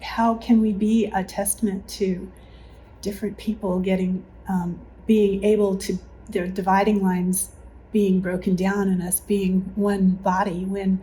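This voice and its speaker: A woman reflecting on church life in a group interview, thoughtful and halting, correcting herself as she goes. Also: medium pitch, flat delivery, dark tone, rough recording. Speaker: church member recalling past challenges. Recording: faint background room noise.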